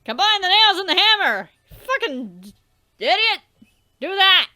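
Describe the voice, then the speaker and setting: A young woman with a very high, bright voice reads a webcomic aloud in a theatrical way, her pitch jumping all over the place. She swears freely and drifts into offhand, rambling asides as she hosts a let's play. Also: big pitch swings, measured pace. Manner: theatrical reading, swears and trails off. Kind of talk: reading a webcomic aloud with commentary.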